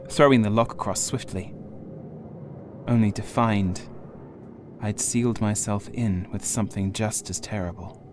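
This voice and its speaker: Low-voiced man narrating horror over music. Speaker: audiobook narrator. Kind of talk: dramatic scripted horror narration.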